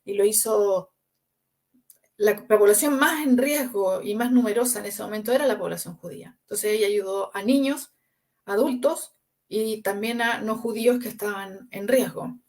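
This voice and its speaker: Woman, author guest, earnest, fluent storytelling, mid pitch. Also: moderate pace, darkish tone. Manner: earnest, fluent with frequent restarts. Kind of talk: storytelling interview answer.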